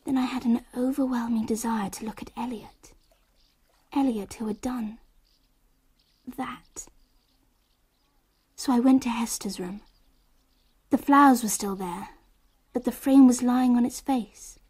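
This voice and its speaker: An anxious English girl telling her story in a radio play. Her voice is high and breathy, and she tells it in a hushed, deliberate, intense way. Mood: shaken, hushed. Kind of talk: radio drama first-person narration.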